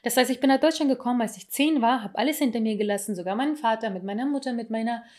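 A woman's voice: a little high, very fast, a little mellow. Candid woman telling her story casually. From abroad, came to Germany at ten. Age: 30s. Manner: candid, storytelling, self-mocking with slips and restarts. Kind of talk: casual personal chat.

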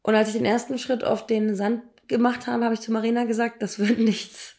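A woman in her thirties, hoarse from illness, tells personal stories in a casual, emphatic, slangy way. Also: on the high side, little pitch movement, a little fast, a little mellow. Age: 30s. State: hoarse, ill. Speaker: podcast co-host.

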